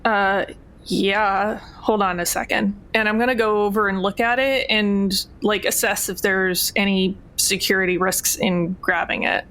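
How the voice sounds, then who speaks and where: Woman, tabletop game player, casual chat, mid-range pitch, informal, blunt. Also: fairly even intonation, unhurried, fairly bright tone.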